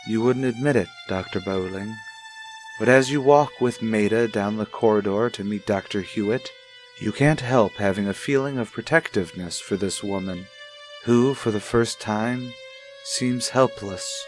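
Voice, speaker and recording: An actor playing an intimate, solemn soap opera narrator in old radio style, with deliberate dramatic pauses. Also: male voice, on the low side, soft background music, natural voice. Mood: solemn, confiding.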